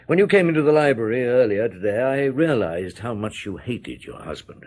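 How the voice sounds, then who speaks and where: An actor playing a middle-aged French chief inspector in a radio drama, measured and quietly insistent. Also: male voice, medium pitch, sing-song. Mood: firm. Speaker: detective. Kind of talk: 1970s BBC radio drama acting.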